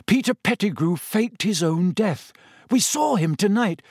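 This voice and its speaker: Guy doing a teen boy hero, earnest n desperate, raised pitch, protesting, storybook. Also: animated, a little slow, dry recording, clean studio sound. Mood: urgent. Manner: urgent, protesting.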